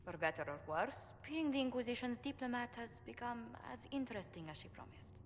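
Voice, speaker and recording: A woman's voice: on the high side, expressive, brisk, close to an everyday voice. An actress voices a courtly female ambassador in a fantasy video game. She is composed and diplomatic, choosing her words formally. The voice rings in a large hall with a little noise behind it. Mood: composed, tactful. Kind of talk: video game dialogue acting. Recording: long hall reverb, faint noise.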